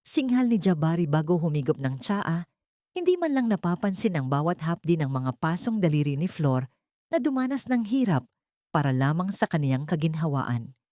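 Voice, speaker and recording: A woman's voice: sits low, very animated, normal speed, mellow, no echo, clean studio sound. A woman storyteller reading a scripted dramatic audio story.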